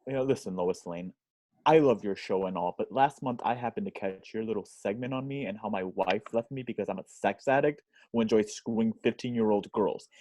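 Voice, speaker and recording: A man's voice: on the low side, fairly quick, dark tone, no echo, lightly acted, rough recording. Bossy movie producer, played by a man, dry-sounding. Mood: sarcastic, resentful. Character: irritable, bossy male Hollywood film producer. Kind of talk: amateur table reading.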